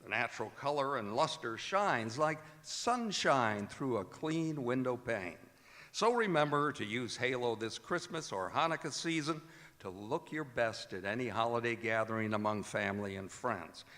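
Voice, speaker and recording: A man's voice: medium pitch, lively intonation, normal speed, a bit bright. American male radio announcer in an echoey hall. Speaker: announcer and director of a radio theater. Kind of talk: old-time radio announcing, reading credits and sponsor spots. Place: performing arts center stage. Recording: echoey hall, long reverb, music bleeding in. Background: music.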